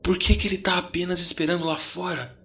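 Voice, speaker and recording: A man's voice: fairly high pitch, animated, fast talker, fairly bright tone, voice pushed up. A man voicing a panicked, exasperated sixteen-year-old boy in a horror audio story, stammering and ranting.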